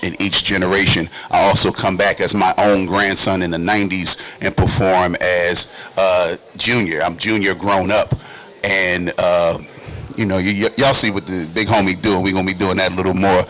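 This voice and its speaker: A man's voice: low-pitched, pushed and bright, low-quality recording. A man who hosts and acts, talking casually in an emphatic, slangy way on a talk show. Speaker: talk show host and stage actor.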